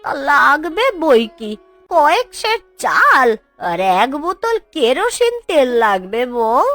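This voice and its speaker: A woman voicing a touchy, nagging old village aunt in a cartoon, with folksy, drawn-out scolding. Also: high voice, very animated, a bit bright, no room sound, soft background music, exaggerated voice. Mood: inquisitive. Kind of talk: Bengali cartoon dubbing.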